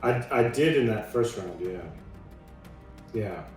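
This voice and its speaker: A man's voice: fairly low pitch, expressive, takes it slowly, a little mellow. A man playing a tabletop roleplaying game, talking casually. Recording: very echoey, roomy, music behind.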